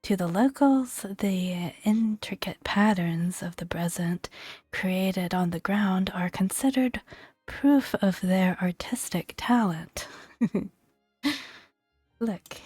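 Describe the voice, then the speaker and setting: A woman speaking softly and breathily in a gentle, playful ASMR style, often dropping into a whisper as she reads and comments. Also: mid-range voice, slow pace, no echo, soft background music. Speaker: gaming video host. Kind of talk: soft-spoken ASMR, reading creature entries with casual commentary.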